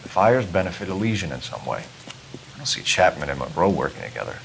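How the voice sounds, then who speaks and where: An actor playing an earnest, guarded young American police detective from the 1940s in a grave, clipped manner. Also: male voice, low voice, big pitch swings, fast, vocal fry, fairly bright tone, a little hiss or hum, heavily acted, poor audio. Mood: skeptical. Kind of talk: dramatic video game acting.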